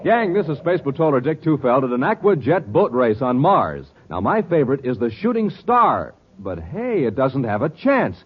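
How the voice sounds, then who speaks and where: A man's voice: medium pitch, very animated, normal speed, few pauses, crowd noise. A man announcing in emphatic, dramatic 1950s radio style, with punchy salesmanship, on a muffled old recording. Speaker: announcer, narrator and ad pitchman.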